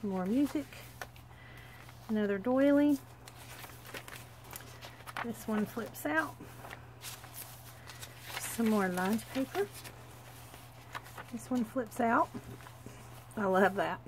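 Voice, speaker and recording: A woman, stuffy from a cold, chatting quickly and gushingly as she shows a handmade journal at her craft table. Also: a little high, noisy background. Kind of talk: casual craft walkthrough.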